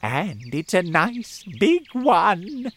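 A reader voicing a shrill, spiteful, bossy old aunt in a storybook reading, shrieking high and loud. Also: sing-song, very slow, full character voice. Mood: shrill, excited.

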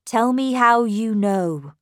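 Lady playing kindly worried woman in a storybook, doubting, earnest questions, slow talker, highish voice. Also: animated, dry recording, studio quality. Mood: troubled, questioning. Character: troubled, doubting, kindly woman confidante to a governess.

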